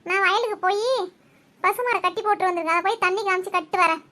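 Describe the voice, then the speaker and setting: A woman's voice: very high voice, quick pace, bright, forceful tone. A woman voicing a quarrelsome, tearful village housewife in a Tamil cartoon, complaining and accusing as she tells her story. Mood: scolding.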